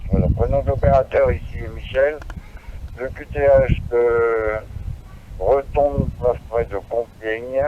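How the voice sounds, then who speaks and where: Slow Frenchman on a dull radio link. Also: mid-range voice, fairly even intonation, background noise. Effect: received over two-way radio. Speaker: amateur radio operator. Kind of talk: radio contact chat.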